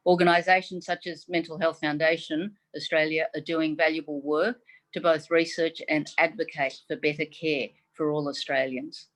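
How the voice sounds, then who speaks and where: A woman from Taiwan whose first language is Mandarin, a chief medical advisor giving a prepared forum speech in a measured, formal way with frequent "um"s, heard over a video call. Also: deep voice, level tone, low-quality recording. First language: Mandarin Chinese.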